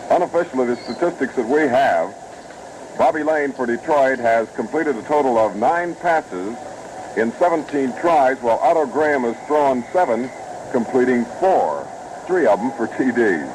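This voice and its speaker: Male American fifties radio sports announcer, medium pitch, measured factual recap, clearly noisy archival broadcast. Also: expressive.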